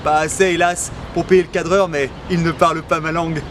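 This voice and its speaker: A man's voice: fairly high pitch, level tone, fairly quick, very noisy. A man hosting a parody language lesson in a mock-earnest, cheeky manner. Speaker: comedic language teacher host.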